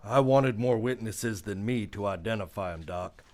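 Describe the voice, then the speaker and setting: A man's voice: fairly low pitch, normal speed, darkish tone, lightly acted. A man with an American accent playing a weary, stern, brooding marshal in a western radio drama, speaking in a terse and grave way. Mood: grim, brooding.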